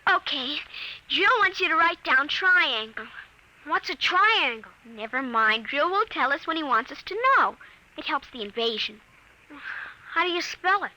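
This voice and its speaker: High, piping, earnest little girl. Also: expressive, unhurried, sharp tone, heavily acted. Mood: absorbed. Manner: breathless, earnest, childlike insistence. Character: excitable, earnest little girl devoted to an invasion game. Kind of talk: 1950s radio drama acting. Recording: noisy vintage 1950 radio recording.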